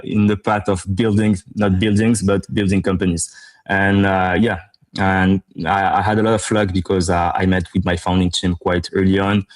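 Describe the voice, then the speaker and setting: A man from Morocco with a deep voice and a narrow, steady pitch range. He talks as a company founder in an interview, explaining his business conversationally and repeating words for emphasis. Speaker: startup co-founder being interviewed.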